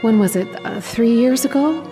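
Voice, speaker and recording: An actress playing an adoring, possessive young dream sweetheart in an old-time radio drama, speaking in an intimate, coaxing, eerily gentle way. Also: female voice, a little high, lively intonation, moderate pace, music in the background, close to an everyday voice. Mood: adoring, wistful. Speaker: actress in a horror radio play.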